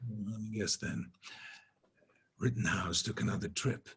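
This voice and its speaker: A man's voice: on the low side, unhurried, fairly bright tone, slightly rough, exaggerated voice. A man voicing a sardonic, dangerous, unrepentant prisoner in a drama read, dripping with sarcasm. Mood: bargaining, mocking. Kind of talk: scripted drama table read.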